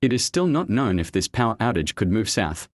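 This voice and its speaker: Low-pitched male announcer, dry sound. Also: very animated, brisk, dry recording. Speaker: television continuity announcer. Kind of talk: scripted emergency announcement.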